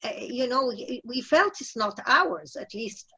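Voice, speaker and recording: An older woman from Iraq recalling her life in an unscripted discussion, animated, with hesitant phrasing. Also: fairly high pitch, a little slow, slightly rough. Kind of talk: unscripted personal recollection in discussion.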